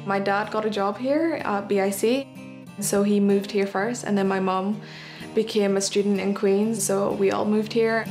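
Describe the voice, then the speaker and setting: A teenage girl talks in an easy, chatty way, dropping in a casual "you know" here and there. Her voice sits at a medium pitch. Clear guitar music plays under her words. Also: normal speed. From Malaysia, raised in Northern Ireland. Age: teens. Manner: chatty, relaxed, uses fillers like "you know". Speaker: interviewee, daughter. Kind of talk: documentary interview. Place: home living room.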